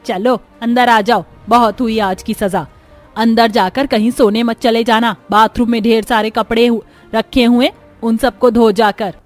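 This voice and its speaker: High, scolding cruel aunt voiced by a woman. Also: steady tone, unhurried, faint music behind, close to an everyday voice. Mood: bossy. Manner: sharp-tongued, bossy, threatening. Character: cruel, scolding aunt bullying orphaned children. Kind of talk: Hindi cartoon villain acting.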